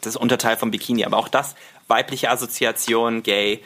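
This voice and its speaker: A man's voice: mid-range voice, fairly quick. Playful man chatting on a podcast. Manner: playful, animated explaining with mock-serious arguments. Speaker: podcast guest. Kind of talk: casual humorous podcast chat.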